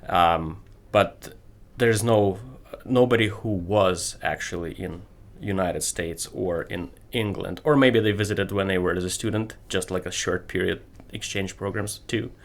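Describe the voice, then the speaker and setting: Deep-voiced Ukrainian-accented man, halting. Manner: halting, searching for words, many ums. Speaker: guest, computer business owner. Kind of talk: casual interview.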